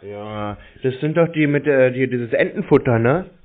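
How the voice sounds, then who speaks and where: Male scambaiter, mid-pitched, acting dim and casual, narrow phone audio. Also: lively intonation, normal speed. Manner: feigned cluelessness. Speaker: scambaiter taking scam calls.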